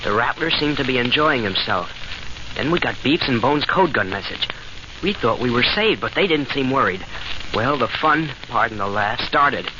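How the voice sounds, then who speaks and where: A man's voice: mid-range voice, expressive, normal speed, natural voice. An actor in an old radio serial playing a plucky boy adventurer who tells his exploits earnestly and informally. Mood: earnest, eager. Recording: dull, noisy old radio recording.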